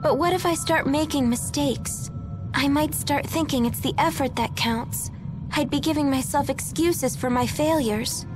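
Game casting: perky teen girl, high-pitched, earnest but unsure of herself, music underneath. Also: a little slow. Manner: expressive, plain-spoken, girlish. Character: perky, earnest, self-doubting teenage girl. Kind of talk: English video game voice acting.

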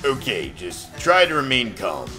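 Polite but firm male boss voiced by a man, cautious, crisp bright edge, faint music, cartoon comedy. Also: medium pitch, wildly swooping pitch, a little slow, heavily acted. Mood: concerned. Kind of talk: animated dark comedy dialogue.

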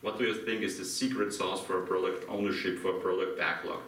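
A man's voice: low-pitched, little pitch movement, quick pace, fairly bright tone. A middle-aged man from Austria with a German accent, giving an interactive conference talk and questioning his audience. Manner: asks the audience questions, laughs lightly. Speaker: conference speaker. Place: dim hotel conference room, small audience. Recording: echoey room from far off, faint hiss.

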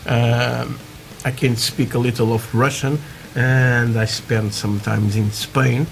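A man from Portugal with a Portuguese accent, chatting haltingly through a hobby tutorial with many fillers, while building at a workbench. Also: medium pitch, flat delivery, vocal fry, very noisy. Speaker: scale model builder. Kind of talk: casual hobby tutorial talk. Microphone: camera over work desk, hands only.

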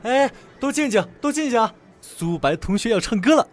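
A man's voice: very high-pitched, lively intonation, moderate pace, background noise. A man playing a cocky, playful male student in an amateur audio drama, teasing as he talks. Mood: cocky announcing. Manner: teasing, swings from murmurs to loud calls. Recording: music behind the voice.

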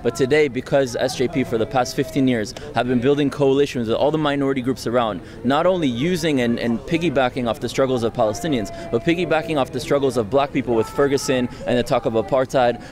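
A young man from France giving a street interview outdoors on a campus, talking fast and insistently into a handheld mic. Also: medium pitch. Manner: fast, insistent, argumentative, frequent tag "right?". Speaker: interviewee, student activist. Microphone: handheld mic held close by interviewer.

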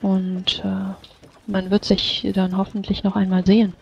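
A woman roleplaying in character at a fantasy tabletop game, in measured, formal phrasing. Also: medium pitch, completely flat delivery, gravelly. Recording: dull, lo-fi voice chat.

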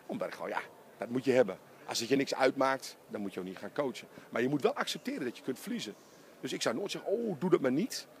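A man's voice: mid-range voice, a little hiss or hum. A middle-aged man, a basketball coach, talking emphatically in a post-game interview inside an empty arena, close to a handheld mic. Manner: animated, emphatic, talks in quick bursts. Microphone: handheld interviewer mic held close.

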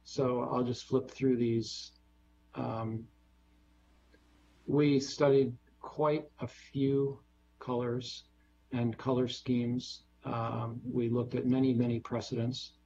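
Male designer, mellow husky voice, unhurried technical walkthrough. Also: mid-range voice, flat delivery, highs cut off. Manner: measured, explanatory, many fillers. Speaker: bridge designer presenting to a board. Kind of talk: technical presentation on a video call. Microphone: video call.

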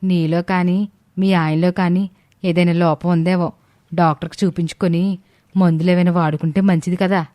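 A woman's voice: lowish voice, flat delivery, normal speed, mellow, no room sound, close to an everyday voice. A woman voicing a nosy, tactless older neighbour in a family story, prying in colloquial village talk.

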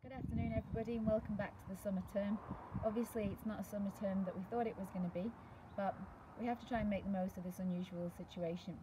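A woman's voice: medium pitch, steady tone, fast. A British woman in her thirties giving a prepared address to school pupils as a teacher, recorded outdoors. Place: outdoors in a garden by a brick wall. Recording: outdoor recording with clear background noise.

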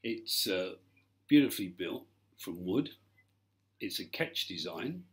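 A man narrating a semi-improvised sales tour as a broker, effusive but halting in his delivery. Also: deep voice, expressive, fairly bright tone. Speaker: yacht broker.

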